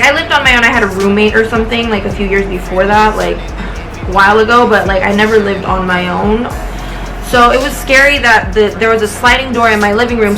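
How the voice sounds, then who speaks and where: An American woman in her late twenties chats casually as a vlogger. She rambles through a personal story with slang and swearing, at an ordinary pitch, with music clearly playing behind her. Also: poor audio.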